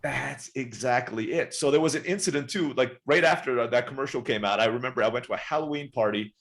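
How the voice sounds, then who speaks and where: A man in his forties, born in the Philippines and raised in Turkey, chatting quickly as a podcast guest telling his life story. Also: mid-range voice, fairly bright tone. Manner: rapid, chatty, often saying "right?". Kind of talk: casual interview storytelling.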